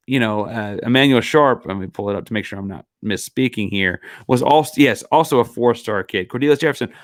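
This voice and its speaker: A man's voice: fairly low pitch, no echo, studio quality. A man hosting a solo sports podcast, talking casually and rambling with fillers, his voice a bit worn. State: losing his voice. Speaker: podcast host.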